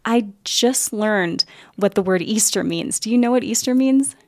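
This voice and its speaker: A woman hosting a faith podcast, speaking in a thoughtful, earnest way with gentle enthusiasm. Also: mid-range voice, moderate pace, no echo. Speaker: podcast host. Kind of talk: conversational religious podcast talk.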